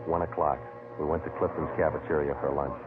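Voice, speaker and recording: Deadpan old radio cop, low voice, talks quick, muffled old recording. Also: male voice, loud music behind the voice. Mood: matter-of-fact. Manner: clipped, matter-of-fact, deadpan. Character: terse, persistent male police detective. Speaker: narrator and lead actor. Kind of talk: 1950s radio police drama acting.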